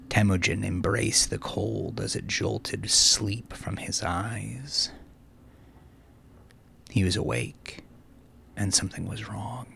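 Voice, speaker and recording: A man narrating a dark story like an audiobook, intense and theatrical, swinging between hushed phrase endings and forceful peaks. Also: lowish voice, gravelly. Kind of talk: dramatic scripted audiobook narration.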